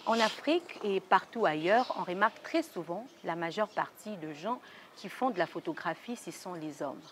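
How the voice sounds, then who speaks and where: A woman hosting a TV interview show in a studio, formal and polite, with some halting restarts. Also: on the low side, expressive, slight background noise. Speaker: talk show host.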